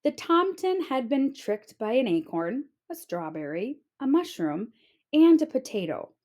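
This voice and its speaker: A woman reading a children's storybook aloud with expressive narration. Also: high voice, unhurried.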